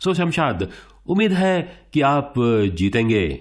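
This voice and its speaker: A man playing a suave quiz show host in a radio play, formal and theatrical, with dramatic pauses. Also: fairly high pitch, big pitch swings. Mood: showy, polite.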